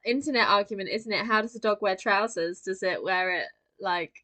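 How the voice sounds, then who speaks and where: A woman's voice: medium pitch, a little fast, pushed and bright. A woman co-hosting a podcast, chatting casually and wryly about film. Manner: chatty, wry, swears casually. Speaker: podcast co-host. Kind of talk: casual film discussion chat.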